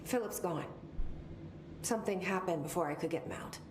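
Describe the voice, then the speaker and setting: A woman's voice: lowish voice, a little fast, lightly acted. A woman playing a terse, composed, serious agency director in a video game. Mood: grave, understated. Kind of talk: video game dialogue acting. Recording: roomy, clearly noisy game audio in stream.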